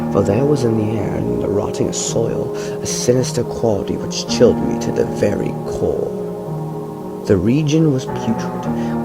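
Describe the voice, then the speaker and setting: A young man reading a horror story aloud in a slow, measured first-person voice, stumbling now and then over long words. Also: lowish voice, gravelly, low-quality recording. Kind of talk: amateur audiobook reading. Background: loud background music.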